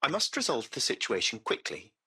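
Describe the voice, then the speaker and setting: A man's voice: medium pitch, wildly swooping pitch, a little fast, sharp tone, husky, full character voice. A man voicing a courteous, composed artificial intelligence that runs a taxi fleet, speaking in formal, precise, butler-like phrases for a video game. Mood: polite, concerned. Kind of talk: scripted video game voice acting.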